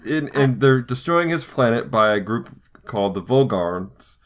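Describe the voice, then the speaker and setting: A man co-hosting a podcast, explaining things in a halting, conversational way as part of a casual discussion. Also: mid-range voice, lively intonation. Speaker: podcast co-host.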